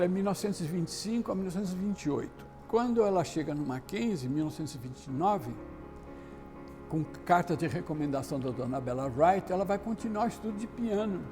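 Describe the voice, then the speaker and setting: A Brazilian man recalling memories in an interview, unhurried and reflective, pausing as he goes. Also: high voice, music in the background. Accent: Brazilian Portuguese. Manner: unhurried, reflective, with pauses and restarts. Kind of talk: reminiscing testimony.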